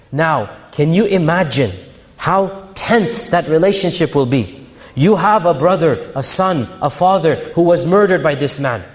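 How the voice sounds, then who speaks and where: A man giving a religious lecture, asking his audience rhetorical questions and reciting Arabic verses. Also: high voice. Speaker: Islamic lecturer. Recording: long hall reverb.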